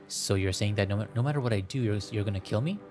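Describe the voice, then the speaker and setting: A man's voice: on the low side, fast talker, over music. A man playing a visual novel, reading the text aloud and chatting casually in a playful, rambling way, sometimes stumbling over the words. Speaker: gaming streamer.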